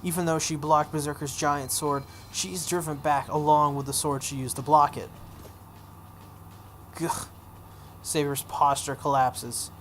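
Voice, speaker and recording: A woman's voice: low voice, fairly even intonation, measured pace. A woman hosting a video game playthrough, reading a visual novel aloud expressively with offhand casual asides. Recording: some background noise.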